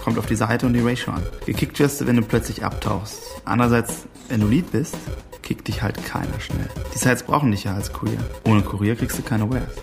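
An actor playing a self-important hacker courier, speaking in a casual, insistent monologue full of jargon and tag questions. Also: male voice, on the low side, brisk, vocal fry. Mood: proud, casual. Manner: colloquial, insistent, tags phrases with "ja?" and "ne?". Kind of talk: casual interview-like monologue in radio play. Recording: music behind the voice.

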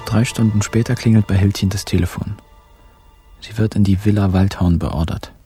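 An actor playing a wry, laconic narrator with a gravelly, very husky voice in a radio crime drama. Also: male voice, fairly low pitch, fairly even intonation, normal speed, mellow, soft background music, close to an everyday voice. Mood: wry, calm. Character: wry male gang member narrating a crime tale.

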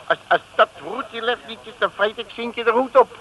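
An actor playing a cocky, self-satisfied comic detective who blusters in colloquial slang in a 1950s radio comedy serial. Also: male voice, extremely high pitch, normal speed, muffled recording. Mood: blustering, defiant. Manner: colloquial, blustering, tags phrases with questioning grunts. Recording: noisy vintage radio recording.

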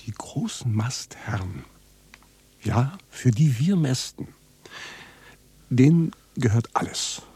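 A very husky, breathy male farmer in a naturalistic radio drama. He has a dark, mellow tone and talks bluntly and bitterly, with a sardonic, evasive edge. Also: medium pitch, very animated, takes it slowly, pauses often, heavily acted. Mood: bitter, indignant. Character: bitter, evasive male contract calf fattener who knows the trade. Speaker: contract livestock farmer.

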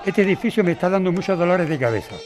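Casting: actor as impatient middle-aged male lawyer, condescending, quick delivery, audio drama with street crowd behind. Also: sits high, animated. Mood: impatient, defensive. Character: stubborn, dismissive corporate lawyer, father of an activist.

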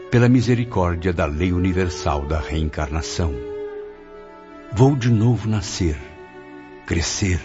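Deep, solemn old writer's spirit. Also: male voice, lo-fi sound. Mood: solemn, reflective. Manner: solemn, formal, literary diction. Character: spirit of a cultured, sorrowful 65-year-old male Portuguese writer. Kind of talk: dramatic radio play monologue.

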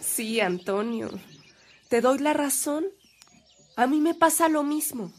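A woman's voice: high-pitched, expressive, slow. An actress with a Mexican accent playing a devout, anxious woman in a radio drama, speaking in an earnest, emotive way. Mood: anxious, insistent. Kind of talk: Spanish-language radio drama acting.

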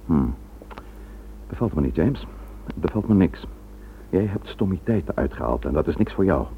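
Gruff police chief in old radio play, lowish voice that moves around a lot, curt. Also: male voice, animated, normal speed, narrow, tinny audio. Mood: gruff, disapproving. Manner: curt, authoritative. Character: stern, gruff male police commissioner questioning subordinates. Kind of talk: Dutch radio drama acting. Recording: noisy, thin old radio recording with hum.

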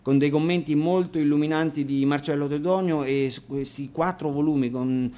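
A man casually introducing songs as a guest musician, explaining things with hesitant pauses. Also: fairly high pitch, steady tone, mellow. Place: radio studio.

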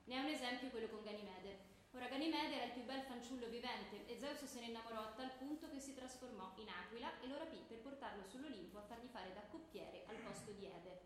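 A woman's voice: fairly high pitch, quick pace, close to an everyday voice. A woman playing a stern, didactic schoolmistress who quizzes and commands her pupils in a theatrical radio comedy. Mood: instructive. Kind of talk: theatrical radio comedy, classroom lecture delivery. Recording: echoey room with clear background noise.

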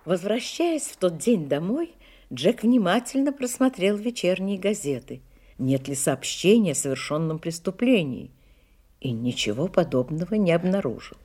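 A woman's voice: fairly low pitch, big pitch swings, normal speed, dark tone, slightly rough. A woman narrating a detective audio play as a composed storyteller, in a scripted dramatic style. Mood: measured narration.

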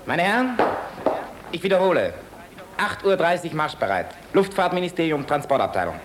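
An actor playing a dutiful military officer in a German radio play, speaking in a clipped, formal, announcing manner. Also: male voice, on the high side, sing-song, normal speed, a little hiss or hum. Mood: formal, brisk.

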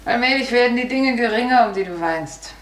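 An actress playing a world-weary, hard-drinking older aunt, wry and teasing, in a 1950s radio drama. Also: female voice, fairly high pitch, lively intonation, a little fast, lightly acted. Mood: weary, bitter. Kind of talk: 1950s German radio play acting. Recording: roomy, hissy 1950s radio recording.

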